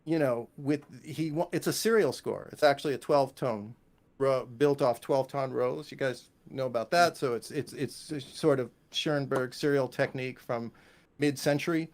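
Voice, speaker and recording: Male composer guest, medium pitch, casual storyteller who quotes others as he goes. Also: moderate pace. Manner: animated anecdotes, quotes others and sings musical examples. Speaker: guest, composer and music teacher.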